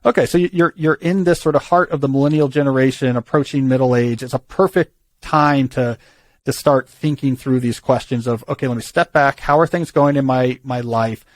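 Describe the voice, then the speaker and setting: A man's voice: mid-range voice, fairly even intonation, brisk, no echo. A man hosting a call-in advice show, talking through ideas thoughtfully with frequent restarts. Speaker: podcast host giving career advice.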